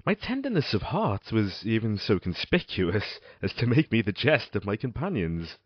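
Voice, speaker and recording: Man acting tormented husky Gothic confessor, swooping delivery. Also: on the low side, very animated. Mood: brooding, reflective. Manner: theatrical, deliberate, formal archaic phrasing. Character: tormented condemned man confessing his crimes, intense and melancholy. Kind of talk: dramatic Gothic audio drama monologue. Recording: dull, roomy amateur recording.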